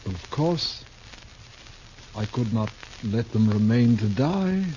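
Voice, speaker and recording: A man's voice: lowish voice, sing-song, takes it slowly, dark tone, a little hiss or hum. A man playing a florid, theatrical travelling stage actor in a 1950s radio drama. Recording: dull lo-fi old radio recording.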